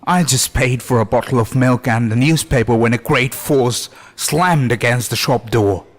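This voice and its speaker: A man narrating a scripted horror story in a deliberate, suspenseful way with dramatic pauses. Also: medium pitch, slight background noise. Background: music under the voice.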